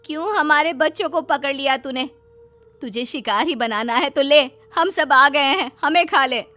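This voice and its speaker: Cartoon villager female actor: desperate parent, extremely high bright voice, imploring, light music bed. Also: normal speed. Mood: defiant. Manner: pleading, emotional. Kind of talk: cartoon story acting.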